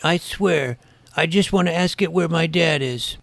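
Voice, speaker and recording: A man's voice: a little high, unhurried, creaky, dry recording. A man playing an earnest, imaginative boy detective, narrating his own case in wordy, mock-serious audio drama style. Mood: pleading, earnest. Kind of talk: scripted first-person narration with dialogue.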